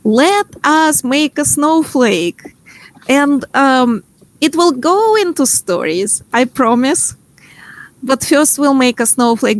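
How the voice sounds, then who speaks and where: Middle-aged ukrainian-accented woman on a video call from home, slowish, lots of ums, kinda high voice. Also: no room sound, poor audio. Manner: gentle, playful instructions with frequent pauses and fillers. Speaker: math workshop facilitator. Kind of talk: online workshop teaching.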